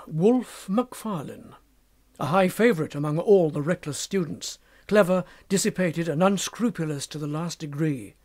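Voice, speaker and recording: A man narrating a literary tale as an audiobook, dramatic, measured and formal in his delivery. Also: a little high.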